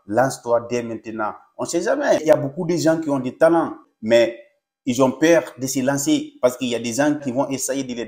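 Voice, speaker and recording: Young guy, french not his first language, chatty motivational tutorial, calls everyone "les gars", mid-pitched voice. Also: darkish tone. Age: young adult. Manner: pep-talk tone, addresses viewers as "les gars". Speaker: content creator. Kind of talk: casual tutorial vlog.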